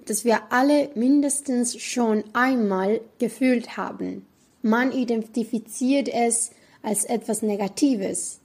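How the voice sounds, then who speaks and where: A woman in her twenties, a native Spanish speaker, reading a prepared talk in German as a student presenter, slowly and carefully. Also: a little high, a little mellow, breathy edge. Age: 20s.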